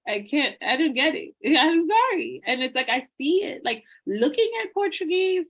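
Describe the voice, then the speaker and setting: A woman's voice: high voice, very animated, moderate pace. Rambling, laughing woman podcast host. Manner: rambling, hesitant, self-deprecating, laughs often. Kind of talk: casual interview chat.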